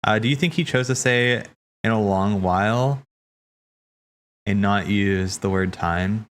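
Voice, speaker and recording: A man streaming casual live commentary, thinking aloud in a halting way and repeating phrases as he reasons. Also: lowish voice, slight background noise. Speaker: streamer hosting a cutscene watch party. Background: game music behind the voice.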